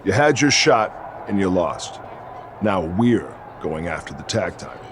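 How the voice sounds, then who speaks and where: An actor playing a stern, commanding veteran wrestler who leads a faction in a video game, talking curtly and with authority. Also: male voice, low-pitched, sing-song, a little slow, noisy background. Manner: curt and authoritative. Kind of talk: scripted video game cutscene acting.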